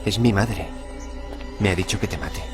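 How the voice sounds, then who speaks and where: A man's voice: deep voice, normal speed, close to an everyday voice. An actor playing a menacing, childlike killer obsessed with his mother in a suspense radio drama. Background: music.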